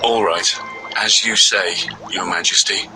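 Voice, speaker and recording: A man playing a soft-hearted, obedient huntsman in a children's fairy tale, earnest and pleading. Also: sits low, fairly even intonation, unhurried, soft background music, full character voice, low-quality recording. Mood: reluctant.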